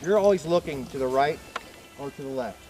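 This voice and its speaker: A man's voice: mid-range voice, fairly quick. Middle-aged male instructor, reassuring coach, light music under. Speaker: ski bike instructor. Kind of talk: on-slope instruction. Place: outdoors on snowy slope.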